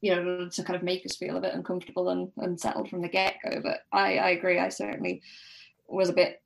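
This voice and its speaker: Creaky-voiced woman chatting as podcast guest. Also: lowish voice, monotone, quick pace, low-quality recording. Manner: tentative, agreeable, chuckly. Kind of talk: casual book discussion.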